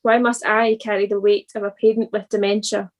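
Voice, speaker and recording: A woman reading a scripted church devotional in an earnest, deliberate manner. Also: medium pitch, level tone.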